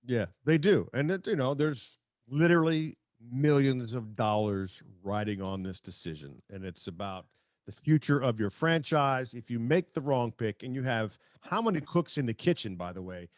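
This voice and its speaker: A man's voice: mid-range voice, animated, normal speed, no room sound, clean studio sound. A man hosting a podcast in casual, slangy sports chat over a remote video call. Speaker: podcast host.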